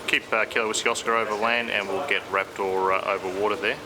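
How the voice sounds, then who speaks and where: A man's voice: lowish voice, fairly even intonation, quick pace. A man working as an air traffic controller, giving working instructions to a colleague.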